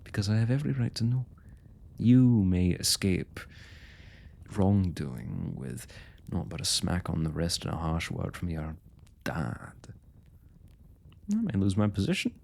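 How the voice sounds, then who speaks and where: Man acting a deep, gravelly young blacksmith. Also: very animated, unhurried, darkish tone, heavily acted. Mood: wary, questioning. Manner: courtly, hesitant, wordy old-fashioned phrasing. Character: young male royal blacksmith, smitten, forthright, proud working man. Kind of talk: intimate fantasy audio roleplay, addressing the listener.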